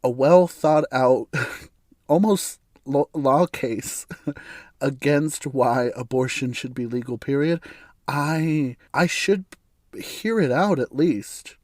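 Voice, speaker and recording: A man from the United States hosting a solo podcast, his voice raspy and hoarse from bronchitis, rambling through his opinions with chuckles and frequent restarts. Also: medium pitch, slow pace, a little mellow, no echo, studio quality. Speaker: podcast host. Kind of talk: casual solo podcast monologue.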